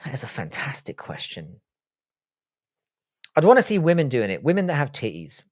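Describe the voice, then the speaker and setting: A man's voice: mid-range voice, wildly swooping pitch, normal speed, dry recording, clean studio sound. Profane man chatting on a livestream. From born in Ireland, grew up in the UK. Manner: profane, blunt, joking. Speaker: livestream host. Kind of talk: casual live-stream chat reading out viewer questions.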